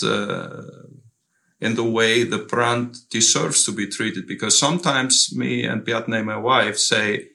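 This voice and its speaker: Middle-aged man from Iceland, gravelly and bright, hesitant interview guest. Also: on the low side. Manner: measured, with many hesitations and restarts. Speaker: company owner, guest. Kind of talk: casual interview conversation.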